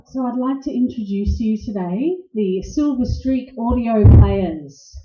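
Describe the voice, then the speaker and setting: A woman's voice: on the high side, unhurried. A woman hosting a live event, giving informal introductions to a group of radio players. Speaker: host introducing a radio play troupe. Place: indoor live venue before an audience. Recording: echoey room, dull lo-fi sound.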